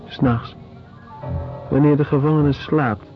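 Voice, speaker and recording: A man playing a diarist who narrates clinical observations in a radio drama, measured, factual and detached. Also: fairly high pitch, fairly even intonation, natural voice. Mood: sober, detached. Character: sober male diarist recording prison observations in clinical detail. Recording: dull lo-fi radio recording, music behind.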